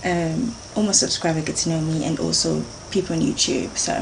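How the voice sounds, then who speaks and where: A woman's voice: deep voice, steady tone, fairly quick, vocal fry, background noise. A woman, chatting to camera in a rambling, earnest way with many fillers. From Jamaica. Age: 30s. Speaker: vlogger. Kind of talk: casual chat to camera.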